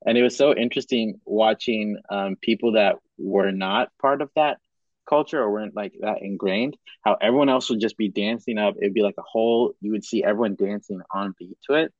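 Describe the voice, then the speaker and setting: A man's voice: lowish voice, brisk, dry recording, highs cut off. Laid-back young man hosting a podcast. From Madagascar. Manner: relaxed, says bro and dope a lot, thinks aloud with restarts. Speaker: podcast host. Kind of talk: casual podcast chat.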